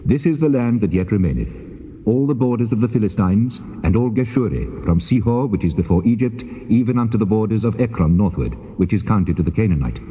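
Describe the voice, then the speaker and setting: Solemn, commanding God played by a man for Bible drama: mellow, measured declamation, long reverb on muffled tape. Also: on the low side, expressive, close to an everyday voice. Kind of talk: dramatized scripture reading.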